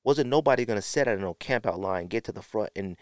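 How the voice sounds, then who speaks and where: Male American podcast host, lower register, dry recording, casual slangy storyteller who acts out dialogue. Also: quick pace, no echo. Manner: animated storyteller acting out quotes, slangy.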